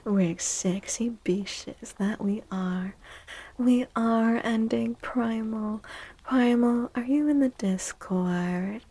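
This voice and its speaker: Female ASMR live stream host: slow, soft and mellow, drowsy chatty delivery that slips into whispers. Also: on the high side. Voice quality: soft, breathy. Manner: very chill, drowsy, often dropping to a whisper. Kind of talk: soft-spoken ASMR chat with stream viewers.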